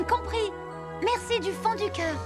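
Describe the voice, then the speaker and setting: A woman voicing a playful, teasing furry animal in an animated film dub, giggly, wry and coy. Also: extremely high pitch, takes it slowly, over loud music.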